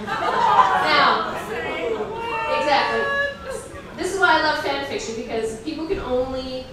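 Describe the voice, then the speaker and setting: A woman with a somewhat high voice reads aloud at a live comedy show. She is conversational and wry, mocking the material as she goes. The sound is very echoey and distant, in a room with an audience. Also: measured pace, a loud crowd, heavy background noise. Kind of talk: comedic reading of fan fiction with wry asides to an audience. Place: live stage reading before an audience.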